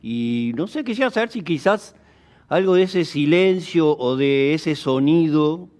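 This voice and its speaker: A man's voice: a little high, animated, a little slow, a little mellow. A man with an Argentine accent interviewing casually, feeling his way hesitantly toward each question with frequent tags.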